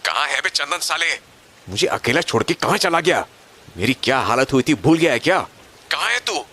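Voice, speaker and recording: An actor playing an indignant abandoned friend who scolds in rough colloquial speech in an animated horror story. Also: male voice, a little high, normal speed, full character voice.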